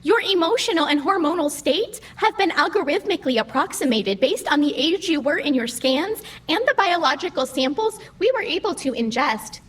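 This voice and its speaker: An oblivious, cheerfully bureaucratic female computer in an audio drama. Her voice is high and bright, with animated swings, and her phrasing is precise and formal. It sounds roomy, over faint noise. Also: recorded from a distance, heavily acted. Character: chipper female computer host of a digital afterlife, oblivious and officious. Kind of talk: scripted audio comedy drama acting.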